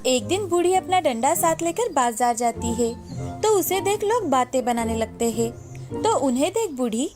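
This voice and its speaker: A woman's voice: high voice, animated, moderate pace. A woman narrating a moral story in a scripted storytelling style. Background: music.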